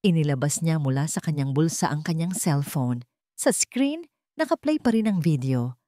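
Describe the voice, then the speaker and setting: Female storyteller, low-pitched, dry studio sound, deliberate dramatic phrasing, scripted narration. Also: expressive, mellow, no room sound.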